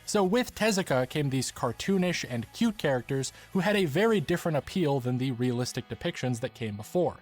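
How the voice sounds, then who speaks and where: A man's voice: medium pitch, expressive, fairly quick, few pauses. A man reading scripted explanatory narration as the narrator of a video essay.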